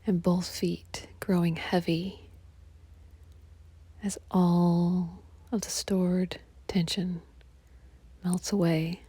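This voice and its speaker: A woman guiding a relaxation meditation in a soft, breathy, half-whispered, soothing delivery. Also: fairly low pitch, little pitch movement, extremely slow, pauses often, no echo. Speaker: yoga nidra meditation guide.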